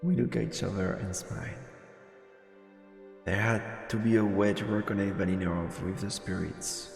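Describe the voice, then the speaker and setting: A man's voice: lowish voice, level tone, normal speed, creaky. A man streaming a live let's play and reading the game's narration aloud over music. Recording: roomy, echoing mic over game music.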